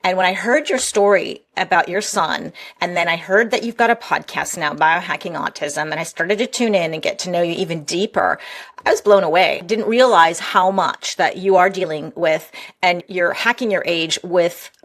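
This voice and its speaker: A woman's voice: on the low side, normal speed, fairly bright tone. A woman hosting an interview, praising her guest effusively before asking questions. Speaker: host.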